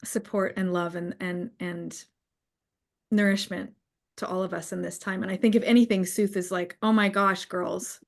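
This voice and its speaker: A woman in casual, reflective conversation on a live online call, answering questions in a halting, thoughtful way as she searches for words. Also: lowish voice, steady tone, gravelly. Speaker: co-creator hosting a live audience call.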